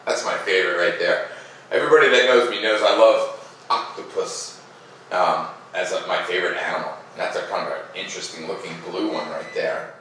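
A middle-aged man reading a storybook aloud to children with casual commentary, expressive and drawing out words as he reads. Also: low voice, a little fast, slight background noise. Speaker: read-aloud host. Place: living room, seated in armchair by fireplace. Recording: roomy echo, distant microphone.

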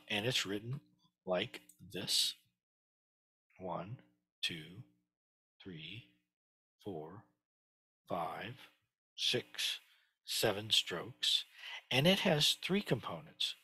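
An older man with a low, husky voice talks through a lesson, pausing often on little fillers and restarting his sentences. His tone stays bright and forward, and the sound is close and dry. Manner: explanatory, halting, many fillers and restarts. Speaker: teacher. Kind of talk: screen-share lesson on Chinese characters. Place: home study with bookshelves. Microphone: headset.

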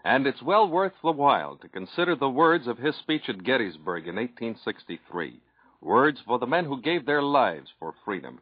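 Man, American, solemn announcer, oratorical, animated intonation, dry dull vintage recording. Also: mid-range voice, moderate pace, no room sound. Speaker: radio announcer. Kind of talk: solemn scripted 1940s radio reading.

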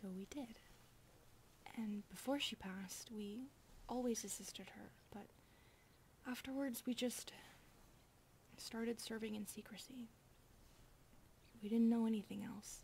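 A woman playing a polite young centipede maid, a protective elder sister, hesitant and apologetic, soft, breathy and often whispering in an ASMR roleplay. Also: fairly high pitch, steady tone, slow pace, pauses often, slight background noise, rough recording. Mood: subdued, reflective. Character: shy, proper twenty-one-year-old centipede maid, protective elder sister.

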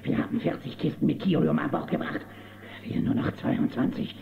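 A menacing outlaw boss for a children's audio play, gloating and short-tempered. The voice is fairly high and heavily gravelled. It echoes through a narrow, phone-like recording. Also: male voice, moderate pace. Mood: irritated. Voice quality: gravelly, rasping. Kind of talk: German children's audio drama acting. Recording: muffled phone-like band, echoey, faint noise.

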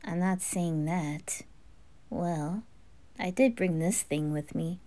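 A woman voicing a cool, sly boy in an anime fan roleplay, quiet, low-key and unhurried. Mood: calm, secretive.

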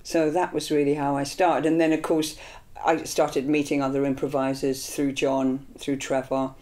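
Older woman, Scottish-born, telling her story in an interview; earnest, animated, loose and rambling. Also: very deep voice, moderate pace. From Scotland. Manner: earnest, animated with hand gestures; rambling with fillers and restarts. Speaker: improvising vocalist. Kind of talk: reflective interview. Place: small indoor studio room.

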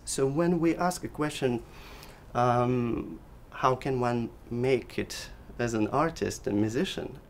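A middle-aged man whose first language is Russian, a clarinet professor speaking thoughtfully and measuredly in an interview, with pauses and restarts. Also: medium pitch, a little hiss or hum. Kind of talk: reflective interview. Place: living room with daylight window.

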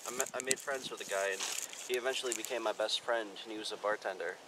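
A young man acting out amateur film dialogue in a halting, casual way, recorded outdoors on a camera mic. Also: fairly low pitch, steady tone, background noise. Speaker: amateur actor in film outtakes. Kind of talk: rehearsing scripted dialogue, casual. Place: outdoors in woods.

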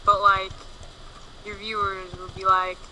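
A woman's voice: medium pitch, monotone, a little slow, bright, forceful tone, a little hiss or hum, low-quality recording. A woman co-commentating a let's play, teasing and sarcastically backseat-gaming the player. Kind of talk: casual gameplay commentary.

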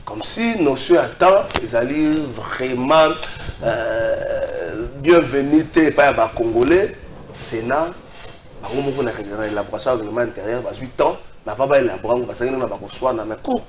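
Animateur hésitant, studio résonnant. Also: male voice, medium pitch, reverberant, background noise. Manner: halting, many fillers. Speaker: TV debate host. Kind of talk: TV debate moderation. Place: TV studio set.

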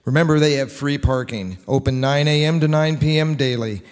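A man's voice: fairly high pitch, a little fast, clear, resonant tone. A middle-aged man hosting a stage show, reading scripted announcements in an old-time radio style. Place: large wood-panelled hall, on stage. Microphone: stand microphone. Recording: echoey hall with long reverb.

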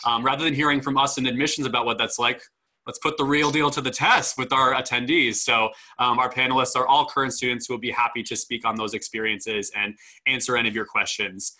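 A man's voice: medium pitch, steady tone, brisk, bright, forceful tone, low-quality recording. A young man informally welcoming people to an online panel as its admissions host, speaking over a video call. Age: young adult. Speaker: admissions dean hosting a student panel. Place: indoor room, video call. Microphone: video call microphone.

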